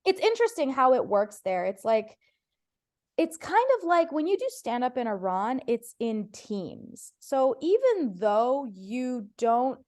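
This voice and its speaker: A woman's voice: on the high side, big pitch swings, unhurried, darkish tone. A woman comedian from Ohio, whose first language was Farsi, chatting casually as a podcast guest, telling stories with playful voiced quotes. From United States (Ohio).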